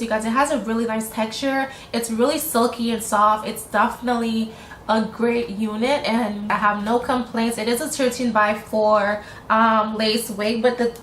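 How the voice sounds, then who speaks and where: A young woman with a raspy, tired late-night voice, gushing casually through a wig review voiceover. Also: fairly high pitch, a bit bright, roomy sound, a little hiss or hum. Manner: chatty, gushing, says "you guys" often. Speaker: beauty vlogger. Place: home at night, sirens outside.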